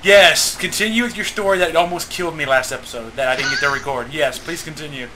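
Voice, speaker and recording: A jokey, excitable man hosting a gaming channel and chatting casually with friends, often cutting in. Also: on the high side, fast. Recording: clearly noisy home voice-call recording.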